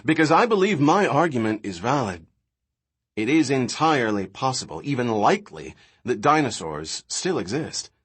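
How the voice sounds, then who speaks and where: A man's voice: medium pitch, a bit bright, slightly rough. A man voicing a pushy, eager male academic in an audiobook, speaking with earnest insistence.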